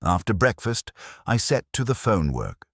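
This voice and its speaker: A man narrating a first-person detective story in a measured, dramatic storytelling delivery. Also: fairly low pitch, sing-song, no room sound, clean studio sound. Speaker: audiobook narrator.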